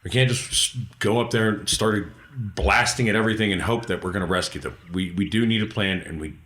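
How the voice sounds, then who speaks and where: Dude in a tabletop rpg group, low pitch, casually talking strategy. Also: male voice, a little fast, fairly bright tone. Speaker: tabletop game player. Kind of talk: casual in-game discussion.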